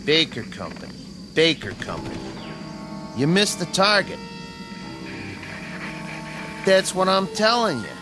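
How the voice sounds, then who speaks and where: Casting: dutiful field radioman, insistent, higher-pitched and animated delivery, radio war drama. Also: measured pace, long pauses, music in the background. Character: dutiful army radio operator relaying orders.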